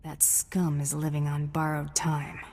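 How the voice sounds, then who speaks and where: Tough game mercenary, cocky, terse, very low raspy voice, reverberant. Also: female voice, monotone, a little slow, a little mellow. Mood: menacing. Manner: terse, dry, swaggering. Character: cocky, tough, cold-blooded female bounty hunter. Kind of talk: scripted game character acting. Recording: long reverb, faint music.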